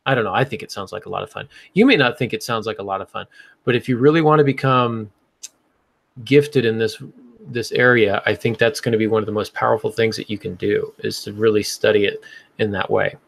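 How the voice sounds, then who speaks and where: A man's voice: on the low side, fairly quick, creaky, a bit bright, dry recording. A man hosting a casual podcast discussion, explaining like a teacher and thinking aloud as he goes. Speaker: podcast host and writing teacher.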